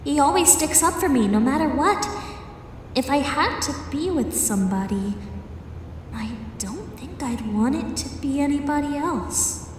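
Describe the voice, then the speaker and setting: A woman's voice: high-pitched, expressive, measured pace, heavy reverb, in a large hall, recorded from a distance, slight background noise. An actress playing a brave, caring young female creature heroine with an earnest, worried manner. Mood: reflective.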